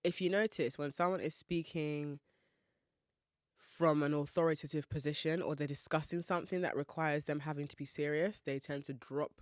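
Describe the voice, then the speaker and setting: A woman's voice: deep voice, fairly even intonation, moderate pace, no echo, clean studio sound. A young woman from hosting a solo podcast in a chatty, playful way, often laughing and asking listeners questions. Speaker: podcast host.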